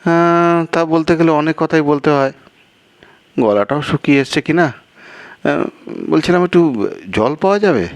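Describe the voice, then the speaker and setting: A man's voice: a little high, measured pace, long pauses, no echo, voice pushed up, natural voice. A man voicing a weary, anxious, polite traveller in a storytelling piece, fretful, hesitant and plaintive. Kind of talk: acted storytelling dialogue.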